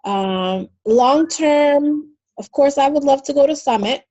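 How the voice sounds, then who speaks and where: A woman on a casual group video call, talking softly and a little haltingly. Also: sits high, animated, muffled recording.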